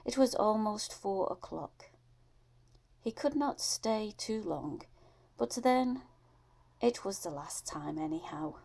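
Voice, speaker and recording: A woman narrating an audiobook story in a measured, deliberate storytelling manner. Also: medium pitch, expressive, gravelly, a little mellow.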